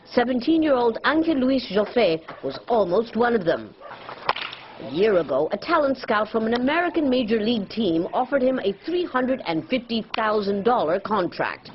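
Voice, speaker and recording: Woman, news correspondent, scripted report, lively pitch, dull lo-fi sound. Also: normal speed, background noise.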